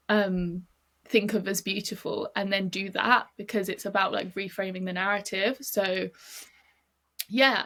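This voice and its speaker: A woman's voice: medium pitch, a bit bright. A young British woman chatting as a podcast guest, filling her talk with "like" a lot. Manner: chatty, fills with "like" often. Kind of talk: casual interview chat.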